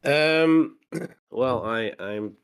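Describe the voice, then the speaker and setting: A man co-hosting a gaming podcast, hoarse from a cold, talking casually with many fillers and restarts. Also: mid-range voice, expressive, extremely slow. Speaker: podcast co-host. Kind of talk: casual chat.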